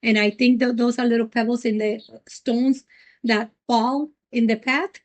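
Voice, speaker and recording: A middle-aged woman from Puerto Rico, the president of a nonprofit, answering interview questions earnestly with many pauses and ums. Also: a little high, fairly even intonation.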